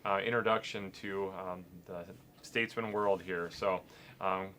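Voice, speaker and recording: A man hosts a relaxed interview in a very low, flat voice with a crisp brightness. He talks loosely, with many fillers. Faint background noise sits under him. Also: a little slow. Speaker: athletics media host. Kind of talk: casual sit-down interview.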